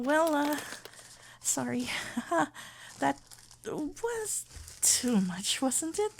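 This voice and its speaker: A woman playing a shy, smitten florist best friend in a romantic ASMR roleplay, speaking hesitantly with stammers and halting phrases. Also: on the high side, heavily acted. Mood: flustered, nervous. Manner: hesitant, stammering, halting, with whispered breathy moments.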